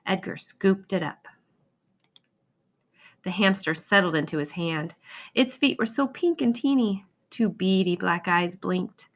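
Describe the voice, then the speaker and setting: A woman reading a children's picture book aloud in an expressive storytelling manner. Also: fairly low pitch, measured pace. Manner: expressive, acts out exclamations and dialogue. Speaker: storybook reader.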